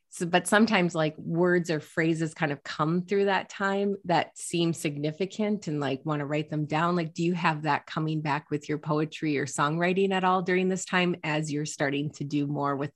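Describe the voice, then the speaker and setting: A woman hosting a podcast interview, talking in a reflective, encouraging way with lots of "like" fillers. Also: deep voice. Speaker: podcast host. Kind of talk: casual interview chat.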